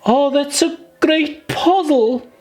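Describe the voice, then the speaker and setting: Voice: high-pitched, slow pace, a little mellow, soft background music, pitched above the performer's own voice. A storybook narrator voicing a fretful, chatty, prim young girl who muses aloud to herself in exclamations. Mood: puzzled, musing.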